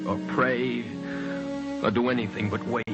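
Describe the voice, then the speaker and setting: An actor playing a weary, bitter condemned prisoner in an old radio drama, speaking in a drained, deliberate, resigned way. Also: male voice, mid-range voice, level tone, natural voice. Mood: resigned, numb. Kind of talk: mid-century radio drama acting. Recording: old radio broadcast under loud music.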